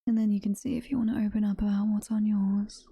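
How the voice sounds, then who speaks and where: A woman's voice: medium pitch, little pitch movement, gravelly, mellow, husky, dry recording, clean studio sound. A British woman playing a doting, possessive demon girlfriend in an intimate ASMR roleplay, whispering and cooing in a motherly way. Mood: adoring, soothing. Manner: whispered, slow, intimate cooing with pet names. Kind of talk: soft-spoken ASMR roleplay.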